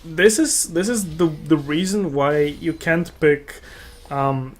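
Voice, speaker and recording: A man co-commentating on an esports match in an analytical way, halting and repeating words as he reasons. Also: a little high. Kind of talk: live analytical game commentary.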